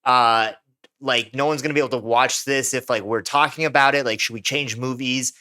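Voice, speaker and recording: A young man from America talking loosely on a podcast, his clear voice bright and forward. He rambles through stories, leaning on filler words as he goes. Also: medium pitch, fairly even intonation, brisk. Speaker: podcast co-host. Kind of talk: casual chat.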